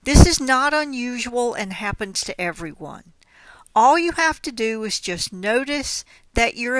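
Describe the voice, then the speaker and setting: A woman guiding a mindfulness meditation with gentle, unhurried, measured phrasing. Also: a little high, no echo. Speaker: meditation guide. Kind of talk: guided mindfulness body scan instruction.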